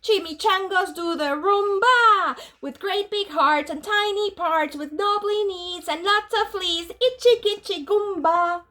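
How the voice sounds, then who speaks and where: A woman voicing a gang of silly, excitable little Chihuahuas, chanting in sing-song Spanglish for a storybook. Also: extremely high pitch, measured pace, hardly any pauses, pitched above the performer's own voice, full character voice. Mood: gleeful chanting.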